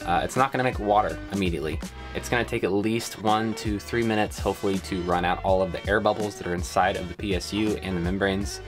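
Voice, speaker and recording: A man in his thirties giving a relaxed step-by-step how-to explanation inside a small sailboat cabin. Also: low-pitched, fast talker. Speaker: sailing vlogger. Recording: background music over voice.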